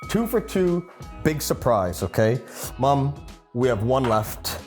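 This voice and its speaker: A man's voice: medium pitch, sing-song, slow pace, over music. A man hosting a cooking show, playful and instructive as he talks viewers through a recipe. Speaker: chef and host. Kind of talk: upbeat cooking show presenting.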